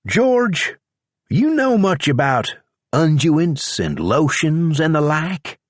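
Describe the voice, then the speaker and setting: A jumpy young country fellow with a tight, high, strained voice, as a male storyteller puts him on. His pitch swings widely and his voice stays dry and close. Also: sing-song, slow, no room sound, full character voice. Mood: defensive. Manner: high, strained, rural talk. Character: nervous young man with a pistol, stubborn.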